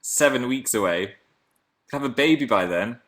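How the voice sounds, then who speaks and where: A man in his twenties from England with a deep voice, chatting playfully on a webcam livestream while reading comments. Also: expressive, moderate pace, a bit bright. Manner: playful, jumps between high and low pitch. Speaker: livestream host. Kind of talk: casual live chat reading comments.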